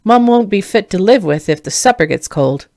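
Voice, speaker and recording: Audiobook role: woman playing a gruff, smart-mouthed farm lad, quick to mock, dry sound. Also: medium pitch, expressive, darkish tone, dry recording. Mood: grumbling. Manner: mocking, gruff. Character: quick-tempered, smart-mouthed teasing teenage farm brothers.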